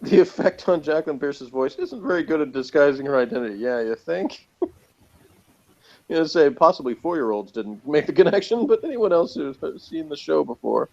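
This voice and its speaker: A man's voice: a little high, lively intonation, moderate pace, creaky, a little mellow, muffled recording. A man chatting casually and wryly as a podcast co-host, reading out notes and commenting on them.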